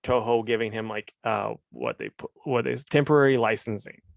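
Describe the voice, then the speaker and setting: A man's voice: mid-range voice, moderate pace, creaky, no echo. A man co-hosting a podcast, chatting casually in a laid-back, rambling way. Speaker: podcast co-host. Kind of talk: casual chat.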